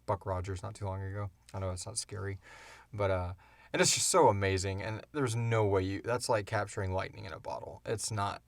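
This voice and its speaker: A man's voice: on the low side, steady tone, a little fast, vocal fry, no echo. A man chatting casually as a podcast co-host, rambling along with enthusiasm as he answers questions. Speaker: creator and co-host of a fiction podcast. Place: small enclosed recording booth.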